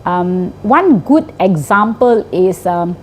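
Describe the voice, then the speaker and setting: A woman's voice: on the low side, expressive, slow pace, a little hiss or hum. A middle-aged woman teacher with a Malaysian English accent, explaining her work in an interview and often ending points with a tag question. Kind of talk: interview answers.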